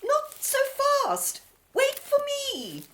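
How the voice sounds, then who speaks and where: Woman playing plucky lady adventurer, very high pitch, wildly animated intonation, old-fashioned jolly manner, radio drama. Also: slow pace, a little hiss or hum, exaggerated voice. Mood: urgent. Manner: exclamatory, old-fashioned jolly British period speech. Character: plucky, playful British woman explorer in a flying machine.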